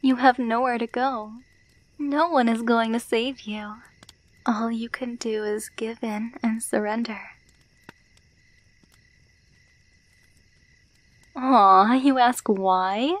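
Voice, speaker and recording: A woman's voice: a little high, steady tone, takes it slowly. A woman playing a menacing, possessive female alpha werewolf, teasing and domineering in an ASMR roleplay, dropping into whispers. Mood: taunting.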